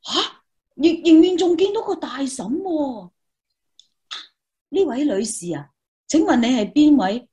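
Eager young female reporter, played by a woman, high and very animated, Hong Kong Cantonese accent, exclamatory radio-play delivery. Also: measured pace, long pauses. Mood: hopeful, wondering. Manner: exclamatory, expressive. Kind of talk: Cantonese radio drama dialogue.

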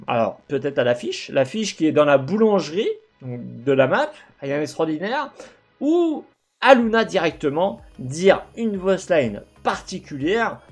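Young male gaming host, headset mic, faint game music underneath, animated delivery. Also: a little high. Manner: animated, talks with his hands, many fillers and restarts. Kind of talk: commentary over gameplay. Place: home room with gaming chair.

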